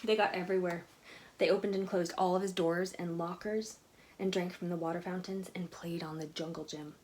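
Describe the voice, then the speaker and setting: A woman reading a picture book aloud to children in an expressive way, with chatty asides to her young listeners. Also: on the low side, brisk. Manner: animated, expressive storytelling with questions to listeners.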